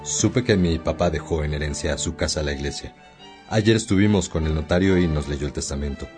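A man's voice: extremely low pitch, brisk, a little mellow. A man acting as a humble, worried father of many children in a radio drama, pleading respectfully. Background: music.

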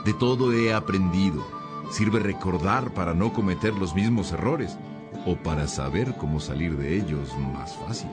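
A man's voice: sits low, normal speed, music in the background, natural voice. A man playing a kindly, reflective narrator in a radio drama, inviting the listener in intimately.

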